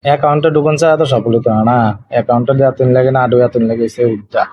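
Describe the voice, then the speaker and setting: A man's voice: mid-range voice, very fast, dry recording. A man chatting casually and intimately on a call, in colloquial, teasing banter. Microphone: phone video call. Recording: dull, lo-fi call recording.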